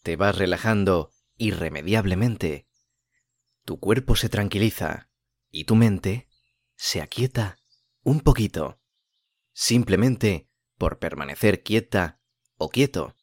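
Deep-voiced man guiding a calm meditation. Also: no room sound, clean studio sound. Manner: calm, soothing, unhurried with long pauses. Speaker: podcast host and meditation guide. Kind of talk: guided meditation narration.

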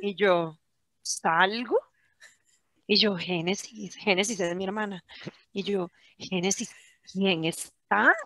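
A young woman with a Costa Rican accent, chatting casually as a podcast co-host and telling animated stories. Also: mid-range voice, slow pace, long pauses, no echo. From Costa Rica. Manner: animated storytelling, mimicking others' slang. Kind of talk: casual chat.

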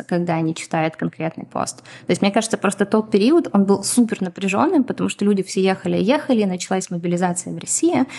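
Woman from Ukraine, podcast guest, mid-range voice, measured answers with many fillers. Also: medium pitch, a little mellow. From Donetsk, Ukraine. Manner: measured, explanatory, many hesitations. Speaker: guest, community founder.

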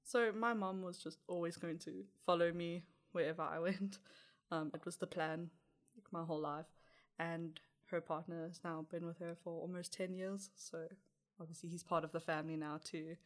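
A woman's voice: fairly low pitch, steady tone, normal speed. A young woman with a South African accent answering interview questions in a chatty way, laughing lightly now and then. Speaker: interview guest.

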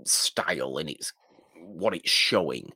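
A man co-hosting a film podcast, chatting casually and haltingly, repeating and rephrasing his points for emphasis. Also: extremely low pitch, animated, creaky, sharp tone, slightly rough, no echo, studio quality.

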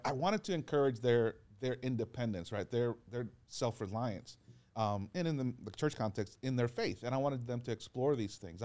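A man, a pastor, talking earnestly in a relaxed podcast chat, telling stories and acting out what people said. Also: mid-range voice. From Georgia, US. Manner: earnest, encouraging storyteller who acts out quotes. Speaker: pastor and guest. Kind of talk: casual podcast conversation.

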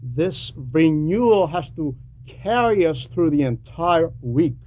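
A man preaching a sermon with emphatic, deliberate phrasing and frequent pauses. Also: a little high, expressive. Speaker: preacher reading a translated homily. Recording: dull lo-fi recording with background noise.

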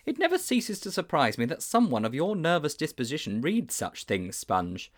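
Man reading as a prim wordy schoolboy, dry and precise, lively pitch. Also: moderate pace. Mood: earnest. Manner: precise, dry and formal phrasing. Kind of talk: audiobook character voice.

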